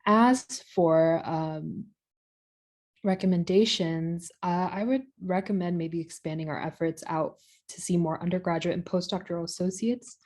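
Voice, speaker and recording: A young woman presents her project findings over a video call. Her voice sits a little low and sounds mellow and warm. She pauses, says "um" often and corrects herself. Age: young adult. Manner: hesitant, with fillers and self-corrections. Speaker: student presenter. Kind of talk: online capstone presentation.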